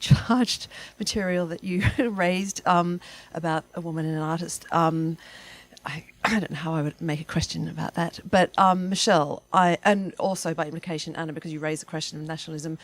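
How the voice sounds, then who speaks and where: A woman putting questions in a literary discussion, in a tentative, roundabout way. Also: low voice. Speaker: panel questioner.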